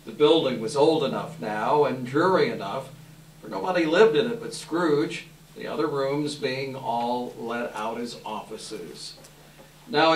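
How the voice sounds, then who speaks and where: A man reading scripted story narration aloud as part of a readers theater. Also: medium pitch. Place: live indoor readers theater event. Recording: distant mic, echoey room, hiss.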